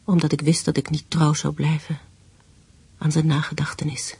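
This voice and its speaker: An actress playing a recently widowed, grieving woman in a radio play, husky and breathy, with a subdued, intimate manner. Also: low-pitched, little pitch movement, moderate pace, warm tone, no room sound, exaggerated voice. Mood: sorrowful, intimate. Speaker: actress and first-person narrator. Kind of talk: radio play acting and narration.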